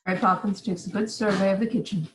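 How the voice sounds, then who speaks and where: A woman's voice: medium pitch, fairly even intonation, fast. A woman giving scripted narration, reading out stage directions for a play. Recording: some background noise.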